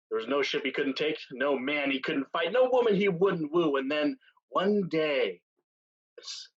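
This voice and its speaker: A man acting a seasoned, weary pirate captain in a staged play reading, speaking in a measured, deliberate way with dramatic pauses. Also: medium pitch, fairly bright tone, husky, dull audio. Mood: wistful. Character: seasoned male pirate captain, weary, solemn, tender, once brash.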